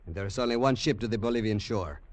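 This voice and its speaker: An actor playing a dutiful, determined Peruvian police commandant in a 1940s radio drama. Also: male voice, fairly low pitch, fast talker, a little mellow, dry recording, close to an everyday voice. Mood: firm, resolute. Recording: old dry 1940s radio broadcast, music.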